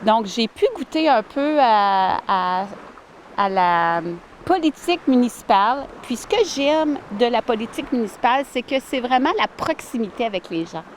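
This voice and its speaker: A woman, answering interview questions warmly and earnestly outdoors by a lake, with many fillers and restarts. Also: a little high, expressive, normal speed, slight background noise. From Ottawa, Canada. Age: 40s. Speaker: village mayor, interviewee.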